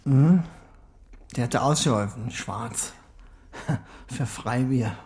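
An actor playing a volatile, desperate ex-convict in a radio crime drama, speaking in halting, clipped fragments. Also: male voice, medium pitch, a little mellow, close to an everyday voice. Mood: subdued, evasive. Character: volatile, desperate male ex-convict cornered by police. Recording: studio radio play with music.

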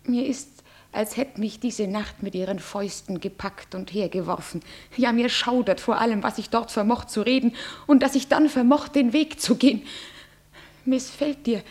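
Breathy young bride, played by a woman. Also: a little high, moderate pace, darkish tone, lightly acted. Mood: pleading. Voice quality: breathy, often whispering. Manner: emotional verse speaking. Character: young bride, fearful, devoted, pleading.

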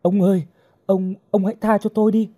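A man's voice: fairly high pitch, animated, moderate pace, mellow, dry recording, clean studio sound, pitched above the performer's own voice. A man voicing a frightened captive young woman who pleads and challenges in a dramatised audio story. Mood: terrified, pleading.

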